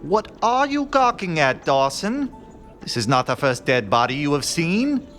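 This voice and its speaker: An actor playing an aloof, pompous gentleman detective in a radio play, speaking in measured, theatrical phrases. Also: on the high side, sing-song. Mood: haughty. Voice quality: haughty, measured, theatrical pauses. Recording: faint music behind.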